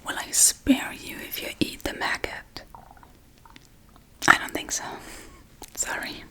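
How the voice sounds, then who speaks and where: A woman playing a quirky, politely menacing Halloween witch in a breathy, soft-spoken ASMR role-play. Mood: matter-of-fact, chatty. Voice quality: breathy, mostly whispered. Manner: unhurried, chatty, politely menacing. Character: quirky Halloween witch casually preparing a human sacrifice.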